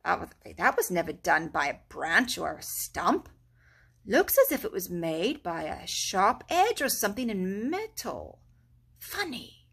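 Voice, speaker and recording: A woman voicing a kindly, excitable male rat who talks briskly and eagerly. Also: mid-range voice, vocal fry, breathy edge, heavily acted.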